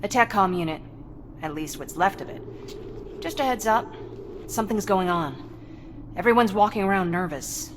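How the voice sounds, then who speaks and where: A woman's voice: medium pitch, expressive, normal speed, long pauses, close to an everyday voice. An actress playing a friendly female resistance fighter in a video game, informal and teasing. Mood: casual. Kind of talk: video game cutscene acting. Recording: clear ambient noise behind.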